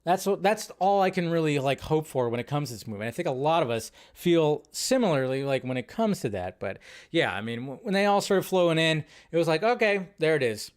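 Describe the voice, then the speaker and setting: A man with a somewhat high voice hosts a loose live stream about films. He rambles, backtracks and restarts his sentences as he talks. His delivery is casual and offhand. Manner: rambling, restarts a lot, laughs at his own jokes. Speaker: host. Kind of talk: casual live reaction commentary.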